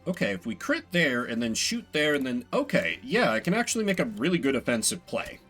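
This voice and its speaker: Man, game streamer, bright forceful voice, musing aloud, lively pitch, thinking through moves. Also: fairly quick, faint music behind. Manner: musing, talks himself through decisions. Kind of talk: live strategy game commentary, thinking aloud.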